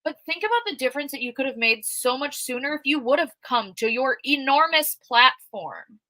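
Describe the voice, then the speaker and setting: Outspoken woman with a bright, dry voice. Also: sits high, animated, moderate pace, dry recording. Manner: conversational with frequent fillers. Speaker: true crime commentator reading live chat. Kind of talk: casual livestream commentary.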